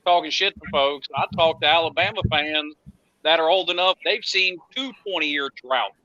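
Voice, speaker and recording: A man in his thirties with a very bright, cutting voice debates sports casually. He is blunt and emphatic, pitched a little high, over slightly dull voice chat audio. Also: normal speed, dry recording. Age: 30s. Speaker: panelist on college football fan talk show.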